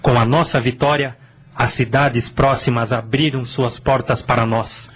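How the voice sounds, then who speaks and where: Male actor as victorious ancient officer, mid pitch, formal reporting, radio drama. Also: steady tone, a little slow, breathy edge. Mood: triumphant, formal.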